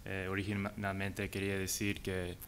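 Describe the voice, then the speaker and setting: A man, an English speaker, giving a conference talk in halting, hesitant Spanish. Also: low-pitched, monotone, fairly bright tone, slight background noise. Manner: halting, hesitant delivery in non-native Spanish. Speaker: conference panelist.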